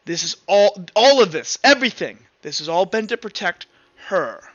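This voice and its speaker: A man voicing a cold, brooding, world-weary villain in a tabletop game, with halting, deliberate pauses. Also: high-pitched, animated, a bit bright, no room sound, lo-fi sound. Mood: fervent, protective. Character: brooding male villain of a dream world, coldly menacing, world-weary.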